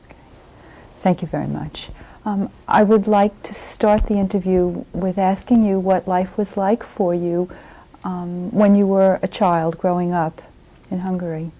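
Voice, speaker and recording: A woman conducts a formal interview and asks her questions slowly and carefully. Her voice is low-pitched. Its tone is dark and warm. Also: steady tone, low-quality recording. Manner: slow, measured and formal. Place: home interview.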